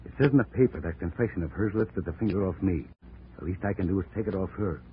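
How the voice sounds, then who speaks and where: A man's voice: deep voice, fast, natural voice. An actor playing a tough, threatening male thug in a 1940s radio drama. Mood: menacing. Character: menacing male thug, knife thrower. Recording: tinny old radio broadcast with hiss.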